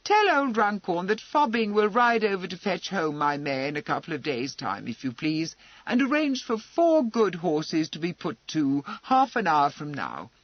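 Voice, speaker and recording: A man voicing a brisk, commanding young aristocratic lady, high and emphatic. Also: very animated, no echo, dull audio. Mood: heartening. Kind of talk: audiobook character voice.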